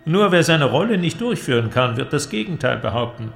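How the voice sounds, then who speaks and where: An actor playing an eloquent, cynical inventor who argues in a declamatory, creaky voice in a literary audio drama. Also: male voice, on the high side, sing-song, brisk, faint music behind. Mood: sardonic, impassioned. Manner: declamatory, argumentative, rhetorical. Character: male inventor, cynical, eloquent philosophical persuader.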